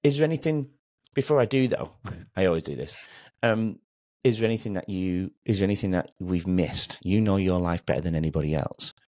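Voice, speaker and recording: A male podcast host in his forties with a very low voice. He talks conversationally and affirms his guest as he goes. The sound is dry and close, as from a small home studio. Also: brisk, a little mellow, no echo. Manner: encouraging, affirming. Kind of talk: warm conversational interview.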